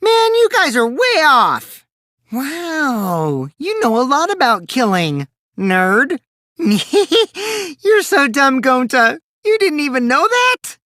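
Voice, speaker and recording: A mischievous teenage boy from a video game, with wildly animated pitch and a close, dry sound. He taunts in a childish singsong and swings theatrically between glee and cool, sly scheming. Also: takes it slowly, no echo, full character voice. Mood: mocking. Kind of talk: English video game character voice acting.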